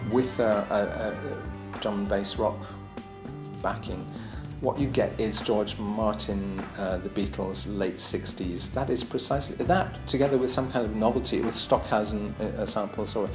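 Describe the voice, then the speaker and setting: A man's voice: on the low side, echoey room. A man lecturing on his own album in a casual, musing way, hesitant, with many ums and restarts.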